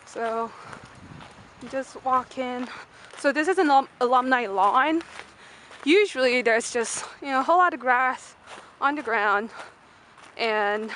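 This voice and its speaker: A woman's voice: sits high, a bit bright. A young woman from Malaysia giving a casual, unscripted walking-tour vlog in a rambling, informal way full of fillers. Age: young adult. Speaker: student vlogger. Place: mostly outdoors on a university campus. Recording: noisy handheld outdoor camera audio.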